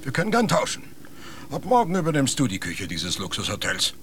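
A man's voice: medium pitch, wildly swooping pitch, fairly quick, fairly bright tone, a little hiss or hum. A man playing a sardonic crewman teasing his shipmate in a radio drama, mocking and bantering.

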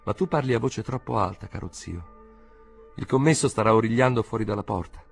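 A man playing a weary, composed nephew in an audiobook, speaking in a restrained, measured, evasive way. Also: fairly low pitch, gravelly, breathy edge, faint music behind, pitched above the performer's own voice. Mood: controlled, placating.

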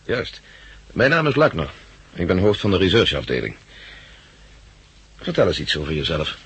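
An actor playing a brisk, authoritative head of research with a probing, businesslike manner in a 1960s Dutch radio drama. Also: male voice, deep voice, wildly swooping pitch, a bit bright, husky, dry recording, heavily acted. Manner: probing, businesslike, clipped questioning.

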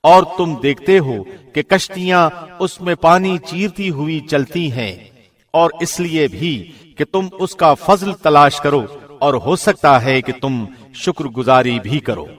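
Male scripture reader, slightly high pitch, formal, solemn, measured phrasing, scripted presenter delivery. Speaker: professional radio host reading translation.

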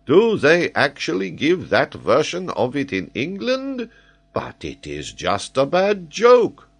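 A man voicing a proud, excitable French geographer from a storybook, pedantic and emphatic as he lectures. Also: medium pitch, measured pace, pitched above the performer's own voice. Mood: indignant.

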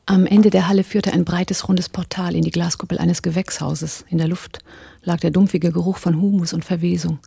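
Husky, gravelly cool female narrator. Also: lowish voice, flat delivery, moderate pace, dark tone, exaggerated voice. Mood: measured, observant. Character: cool, observant female partner of an arms dealer, narrating. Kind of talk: literary first-person narration and dialogue in radio drama.

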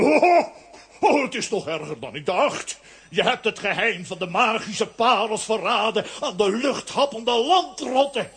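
A grumpy undersea king in a children's audio play. His voice sits high and rings out brightly. He blusters and declaims like an exasperated father on a throne. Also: lively intonation, unhurried, breathy edge, exaggerated voice. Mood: indignant. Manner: theatrical, declamatory. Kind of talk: children's audio drama acting.

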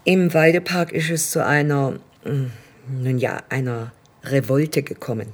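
A woman's voice: extremely low pitch, steady tone, measured pace. A woman acting in a radio play as a menacing, condescending state premier who speaks with controlling courtesy. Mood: feigned friendliness.

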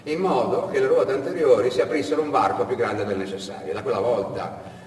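Man telling a story on stage, dramatic delivery w pauses, mid pitch, really echoey. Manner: dramatic, with deliberate pauses and swells. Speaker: stage actor and narrator. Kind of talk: theatrical storytelling monologue. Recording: echoey hall, distant mic, faint noise.

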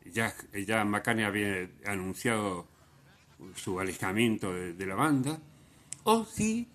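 A man hosting a radio show, telling music stories unscripted in a halting way, repeating phrases for emphasis. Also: fairly low pitch, a bit bright, slight background noise. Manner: halting, with frequent repetition for emphasis. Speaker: radio host.

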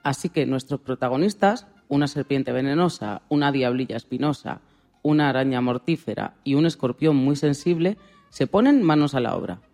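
A man's voice: mid-range voice, lively intonation, normal speed, a little mellow, faint music behind. A man co-presenting a radio programme, narrating from a script.

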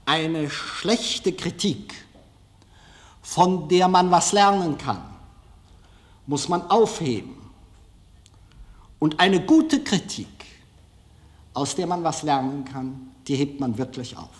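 Slow, deliberate man, reflective and emphatic. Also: on the high side. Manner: deliberate, emphatic, with long pauses. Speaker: film director. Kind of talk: reflective personal monologue.

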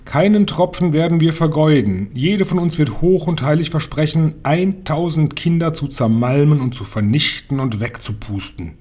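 A man voicing excitable, fawning old witches who gush and exclaim in a storybook reading. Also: a little high, normal speed, few pauses, voice pushed up, close to an everyday voice. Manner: gushing, exclaiming.

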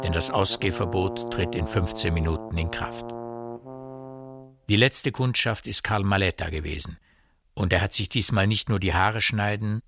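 A man narrating a radio play in a measured, precise literary style. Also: low voice, a bit bright.

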